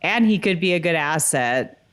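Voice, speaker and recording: A woman's voice: deep voice, animated, moderate pace, a bit bright, clear, resonant tone. A woman co-hosting a podcast, chatting casually and sometimes reading out recaps. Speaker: podcast co-host. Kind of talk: casual chat with read episode recaps.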